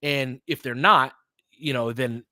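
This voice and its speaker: Male basketball play-by-play host, medium pitch, casual and conversational analysis, very dry sound. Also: measured pace, pushed and bright, no room sound. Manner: conversational, thinking aloud. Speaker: host, fan play-by-play commentator.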